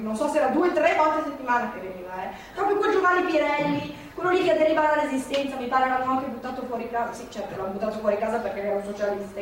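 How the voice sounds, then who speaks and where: Actress as elderly working-class woman, wartime memories, high bright storytelling voice, live stage, distant and echoey. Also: slight background noise, lightly acted. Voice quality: lively storyteller, mimics the people she remembers. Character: elderly working-class woman from a Milan courtyard remembering the war. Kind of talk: theatrical monologue, recorded live. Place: theatre stage. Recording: echoey theatre stage, mic far off.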